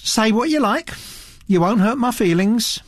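A young woman artist acted out by a storybook reader, lifted above the narrator's pitch. She drawls lazily and with cool indifference, insolent and privately amused. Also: animated, measured pace, dry recording, natural voice. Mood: amused, placid.

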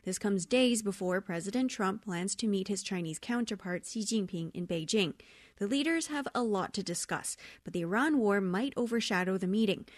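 A woman's voice: medium pitch, expressive, moderate pace, no echo. A woman reading a scripted radio news report as a correspondent.

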